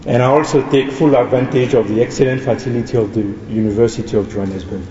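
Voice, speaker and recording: A young man from Ivory Coast speaks, formal and earnest, in a mellow, darker tone. He sounds far from the mic in a large hall with a long reverb tail. The sound is dull, with a faint background hum. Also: mid-range voice. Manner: earnest, measured, with small restarts. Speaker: student speaker. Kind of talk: formal welcome speech.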